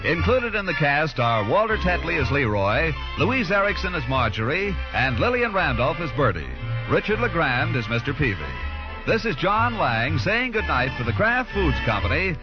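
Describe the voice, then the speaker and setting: A man's voice: fairly high pitch, sing-song, normal speed. An American man reading scripted announcements in the polished style of a 1940s radio announcer. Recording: muffled 1940s radio recording. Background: music.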